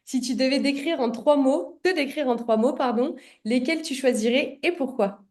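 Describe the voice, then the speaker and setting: A woman's voice: sits high, normal speed, a little mellow. A woman hosting a podcast, asking questions in a friendly, casual way with enthusiastic reactions. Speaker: podcast host.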